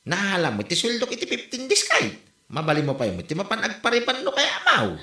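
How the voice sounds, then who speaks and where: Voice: mid-range voice, huge pitch swings, quick pace, pushed and bright. A performer with an Ilocano accent playing a nagging, teasing character who keeps questioning in a comedy drama. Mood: incredulous. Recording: echoey room with music behind.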